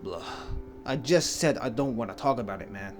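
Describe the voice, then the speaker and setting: A man's voice: mid-range voice, animated, moderate pace, close to an everyday voice. A man playing a cool, evasive young human guy in a comic dub, rambling wryly in casual slang. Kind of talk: comic fan dub voice acting. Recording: music behind the voice.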